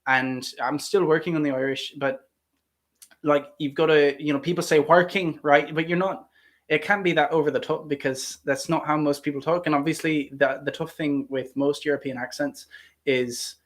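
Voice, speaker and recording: A man's voice: a little high, steady tone, moderate pace. A man from Ohio with a standard American accent, chatting casually and enthusiastically on a live Q&A, with many fillers and restarts. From Ohio, United States. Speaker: actor hosting a live Q&A. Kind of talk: casual livestream chat.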